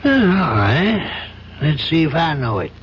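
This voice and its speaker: An actor playing a compassionate older American judge in a 1970s radio drama, reasoning in a measured, avuncular, probing way. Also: male voice, a little high, big pitch swings, a bit bright. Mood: genial. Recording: muffled, noisy old radio broadcast.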